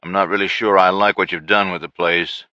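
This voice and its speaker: A wry, seasoned gunman talks dryly, as a male narrator voices him. The voice sits low but bright, the recording dry and a bit dull. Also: flat delivery, fairly quick, no echo.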